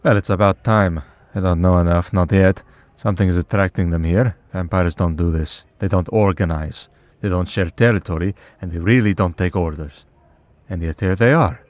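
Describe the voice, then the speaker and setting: An immortal male vampire who hunts his own kind, acted by a man for an audio roleplay. His voice sits low, and he talks in a wry, conversational way with weary patience and dry exasperation. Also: moderate pace, a little mellow, no room sound, close to an everyday voice. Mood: measured, wry. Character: centuries-old male vampire hunter in priest's collar, wry, patient. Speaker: voice actor. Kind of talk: scripted ASMR roleplay.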